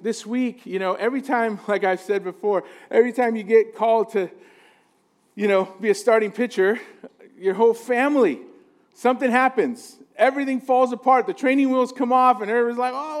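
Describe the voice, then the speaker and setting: High-voiced man preaching informally. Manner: conversational, jokey, asks the listeners for agreement. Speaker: pastor, guest preacher. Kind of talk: informal sermon to a congregation.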